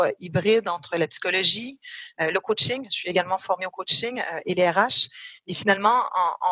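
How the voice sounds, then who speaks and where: A woman's voice: mid-range voice, fairly bright tone, no room sound. A middle-aged woman with a French-Canadian accent, an HR executive answering interview questions thoughtfully with frequent hesitations.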